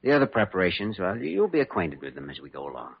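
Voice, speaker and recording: An actor playing an earnest, resolute young surgeon in an old radio drama, speaking in a measured, grave way. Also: male voice, deep voice, huge pitch swings, vocal fry, heavy background noise, full character voice. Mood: grave, guarded. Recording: muffled old radio recording.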